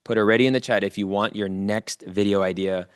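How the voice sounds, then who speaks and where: A man's voice: fairly low pitch, steady tone, a little fast, clean tone, no room sound, studio quality. A man giving a motivational coaching talk to a live online audience, speaking informally and directly to listeners and repeating key phrases for emphasis.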